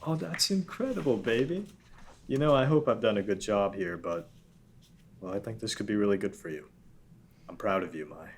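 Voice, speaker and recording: A cheerful, doting father from an animated pilot, voiced by a man at a table read. The voice sits low, and he talks in an affectionate, encouraging way. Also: normal speed, long pauses, a little hiss or hum. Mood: warm fatherly.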